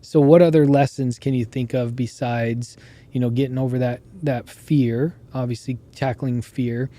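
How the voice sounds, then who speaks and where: A man hosting a podcast, chatting casually with a hoarse voice that keeps going out and telling stories in an animated way. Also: mid-range voice, normal speed. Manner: animated storyteller who laughs while telling. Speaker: podcast host. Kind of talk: casual interview chat.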